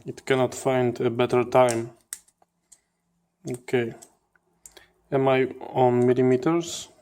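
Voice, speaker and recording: Slow, low-key man explaining hardware. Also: level tone, mellow, clean tone. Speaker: host of a radio electronics channel. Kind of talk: hands-on teardown commentary.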